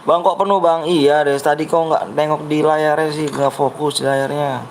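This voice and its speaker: A man's voice: fairly high pitch, steady tone, normal speed, a little hiss or hum, low-quality recording. A young man with a Betawi accent, live-streaming a game and chatting with his viewers in a blunt, brash way that he says sounds harsh, while he keeps urging them to like and subscribe. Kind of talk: live gaming stream chat.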